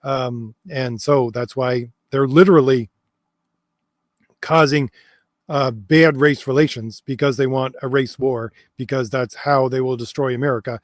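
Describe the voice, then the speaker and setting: A man's voice: medium pitch, fairly even intonation, unhurried, no echo, clean studio sound. Man with a tired, fading voice. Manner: hesitant, many fillers and restarts, reads comments aloud. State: losing his voice. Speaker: host answering viewer comments. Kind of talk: casual livestream chat.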